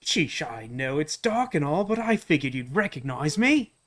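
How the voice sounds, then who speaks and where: A man voicing a big grown-up game villain who sounds nice and easygoing, with an Australian-sounding accent and a gentle, casual delivery. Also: fairly high pitch, very animated, moderate pace. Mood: calm reassuring.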